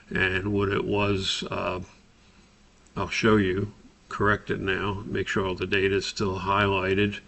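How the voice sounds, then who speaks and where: A man instructor talking through a software tutorial, explaining and thinking aloud as he goes. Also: lowish voice, creaky. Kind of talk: spoken screen-recorded software tutorial.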